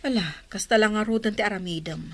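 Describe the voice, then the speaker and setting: Woman as a concerned Filipino aunt, mid-pitched. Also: fast talker, fairly bright tone, natural voice. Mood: sympathetic. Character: concerned, comforting village aunt. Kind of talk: radio drama acting.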